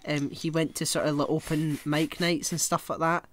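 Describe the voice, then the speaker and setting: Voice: medium pitch, moderate pace, no room sound. A podcast co-host chatting casually and swearing freely while telling rambling comic stories. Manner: rambling, animated storyteller.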